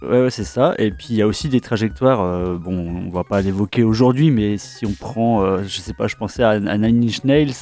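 Mellow, hesitant radio guest. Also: male voice, fairly low pitch, moderate pace, hardly any pauses. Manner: hesitant, lots of fillers and repetitions. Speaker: radio guest, fellow host. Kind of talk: casual radio chat. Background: faint instrumental music.

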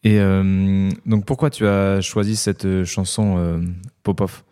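A man asking interview questions slowly, with many fillers. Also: low voice, flat delivery, mellow, smooth. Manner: slow, halting.

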